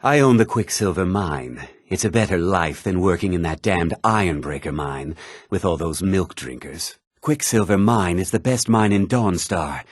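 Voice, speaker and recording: An actor voicing blunt, haughty men of a fantasy game world. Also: male voice, deep voice, expressive, moderate pace, slightly rough, dry recording, studio quality. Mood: gruff, blunt. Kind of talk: fantasy game character dialogue.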